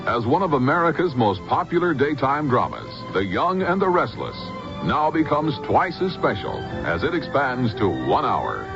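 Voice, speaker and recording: A man's voice: medium pitch, wildly swooping pitch, moderate pace, music in the background. A man reading a 1970s broadcast promo with polished, emphatic announcer delivery. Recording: dull old radio recording.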